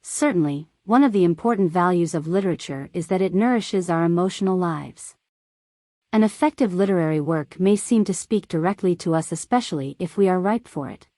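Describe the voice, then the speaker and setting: A woman's voice: on the low side, expressive, brisk, mellow, dry recording, studio quality. A woman reading a scripted practice text aloud as narration. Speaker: reader of practice exam texts.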